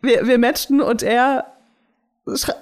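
A woman's voice: on the high side, fairly even intonation, slow pace. A young woman chatting casually as a podcast co-host, often laughing. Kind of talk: casual chat.

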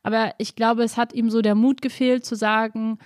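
A woman's voice: fairly high pitch, little pitch movement, moderate pace, no room sound, clean studio sound. Casual woman with slight Berlin colouring. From Berlin, Germany. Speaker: interview guest. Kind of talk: casual conversational interview, reflective personal talk.

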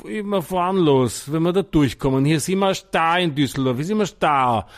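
A man putting on a gruff Viennese cabbie. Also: on the high side, moderate pace, close to an everyday voice. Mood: grumbling. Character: gruff Viennese male taxi driver.

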